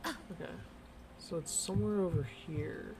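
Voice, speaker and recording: A man's voice: sits high, a little slow. Casting: male gamer for offhand, irreverent play commentary, slangy talk over background noise. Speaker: game streamer. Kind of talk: casual gameplay commentary. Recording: noisy background.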